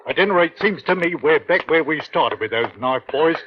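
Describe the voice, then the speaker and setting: A man's voice: a little high, expressive, moderate pace, close to an everyday voice. A man playing a doubtful but deferential junior police detective in an old radio crime drama. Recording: muffled, noisy old radio recording.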